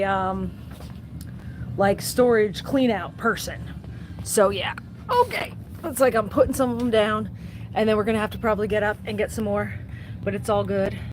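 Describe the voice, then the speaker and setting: Chatty upbeat woman over background noise. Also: on the high side. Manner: chatty and upbeat, often saying "so yeah". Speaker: record store owner livestreaming. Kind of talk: casual livestream chat, reading viewer comments. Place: inside a record store. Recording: noisy background.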